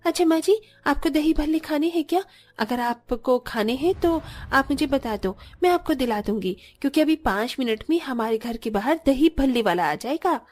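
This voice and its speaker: Woman voicing a high-pitched, sweet young daughter-in-law. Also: animated, moderate pace, a little mellow. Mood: eager, helpful. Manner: polite, deferential, reassuring. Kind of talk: scripted Hindi moral story voice acting.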